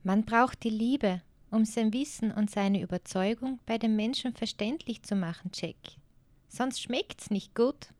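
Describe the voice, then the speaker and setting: A woman playing a soft-spoken wise little girl. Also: fairly high pitch, a little slow, no echo, natural voice. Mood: gentle. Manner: gentle, soft-spoken storytelling. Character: small dirty girl, cheeky and wise. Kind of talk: audiobook character voice.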